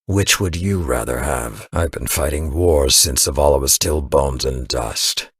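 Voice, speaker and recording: Game casting: bitter old veteran mentor, extremely low, rasping voice, grave and forceful, dry close recording. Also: male voice, unhurried, no echo, exaggerated voice. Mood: bitter reproach. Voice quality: very deep, gravelly, husky. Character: stern, embittered old male war veteran mentor. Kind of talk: dramatic video game voice acting.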